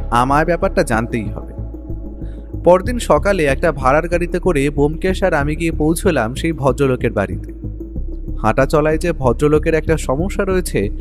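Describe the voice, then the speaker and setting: A man with a fairly high voice narrates a detective story as an actor playing the sleuth's companion. He is curious and watchful, and music plays underneath. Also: close to an everyday voice.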